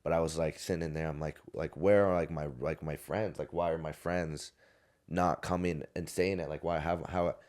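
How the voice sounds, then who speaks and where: A young man in his twenties with a very deep, low voice talks on a podcast. He tells a personal story in a rambling, casual way, circling back and restarting his sentences. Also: fairly even intonation, moderate pace, a little mellow. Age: 20s. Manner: rambling, many restarts and fillers. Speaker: podcast guest. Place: podcast studio.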